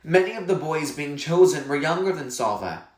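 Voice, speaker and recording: A man's voice: on the high side, expressive, moderate pace. A man narrating a story in a scripted read-aloud style. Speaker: reader reading a novel aloud. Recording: echoey room.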